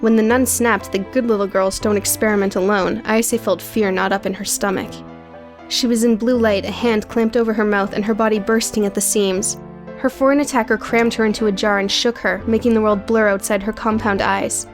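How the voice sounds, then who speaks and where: A woman's voice: mid-range voice, fairly even intonation, fairly quick. Female storyteller over music. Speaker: audio book narrator. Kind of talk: scripted narration.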